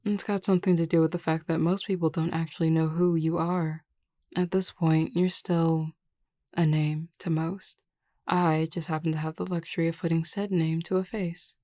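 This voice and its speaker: A woman playing a flirty, teasing, self-assured werewolf pack beta in an audio roleplay, talking in a casually confident, unhurried way. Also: low voice, level tone, dark tone, no room sound, clean studio sound, natural voice. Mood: relaxed, assured. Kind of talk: scripted audio roleplay acting.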